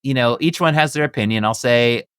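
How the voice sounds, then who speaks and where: A man's voice: medium pitch, a little fast, a bit bright, clear, resonant tone, no room sound, clean studio sound. A man co-hosting a casual film discussion, talking in an easygoing, hedging, meandering way. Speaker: podcast co-host leading the discussion.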